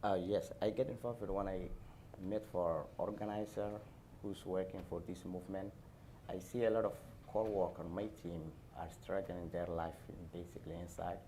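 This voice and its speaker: A man from Somalia with a Somali accent, speaking haltingly on a panel as an airport worker. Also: deep voice, flat delivery, warm tone, slight background noise. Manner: halting, non-native phrasing. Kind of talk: panel discussion answers.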